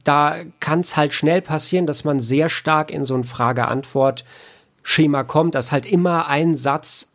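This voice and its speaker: A man, an audio producer talking as an interview guest, explaining things in a halting way and repeating words as he thinks. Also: a little high. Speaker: audio producer and sound engineer, podcast guest.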